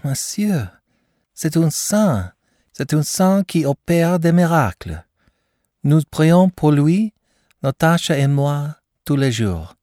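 A man's voice: on the low side, darkish tone, slightly rough, dry recording, clean studio sound, pitched above the performer's own voice, exaggerated voice. A man reading an audiobook, voicing a young émigré mother who gushes and slips French phrases into her English. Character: anxious, effusive young émigré mother, woman.

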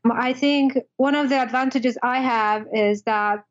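A woman's voice: high voice, steady tone, unhurried. A woman with a Polish accent, a guest expert, talks in a slightly raised voice. She reflects aloud in short phrases that often restart. Her sound comes through a dull, lo-fi line. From Poland. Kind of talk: casual interview.

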